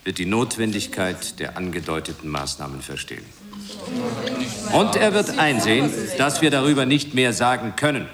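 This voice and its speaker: An actor in a radio drama playing a smooth, dismissive male official giving a rhetorical, placating formal statement. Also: lowish voice, lively intonation, moderate pace, natural voice. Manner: rhetorical, placating, emphatic swings. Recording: crowd murmur behind.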